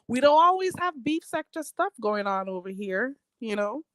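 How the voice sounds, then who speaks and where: A woman's voice: a little high, very animated, moderate pace, heavy background noise. A woman hosting a casual livestream, reading out chat comments and chatting in a rambling way with lots of fillers and frequent laughter.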